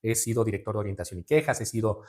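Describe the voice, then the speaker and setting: A man in his forties from Veracruz, Mexico, with a Mexican Spanish accent, giving measured, formal interview answers with frequent fillers and tag questions. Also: lowish voice, darkish tone. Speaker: judicial candidate guest.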